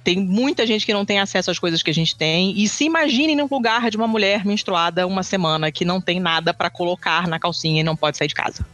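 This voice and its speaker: A man's voice: high voice, fast, few pauses, a bit bright. A man from Brazil co-hosting a casual podcast, rambling with many restarts and fillers. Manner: rambling, many restarts and fillers, admits never being brief. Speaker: podcast co-host. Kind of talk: casual chat.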